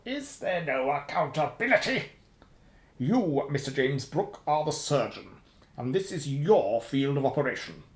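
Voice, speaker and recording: An actor in a radio play voicing a menacing, sardonic boss of a shady firm, clipped and commanding. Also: male voice, a little high, sing-song, a little slow, roomy sound. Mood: cold menace.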